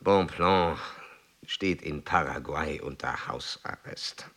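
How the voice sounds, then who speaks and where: An actor playing an aged, celebrated explorer baron in a German radio play, pompous and oratorical. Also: male voice, a bass voice, slow pace, a bit bright, full character voice. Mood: grave, subdued.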